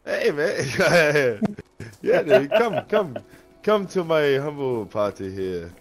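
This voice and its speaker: A man's voice: a little high, big pitch swings, slow, soft background music. A man from Australia, friendly and welcoming, chatting with strangers in an online game. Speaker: player.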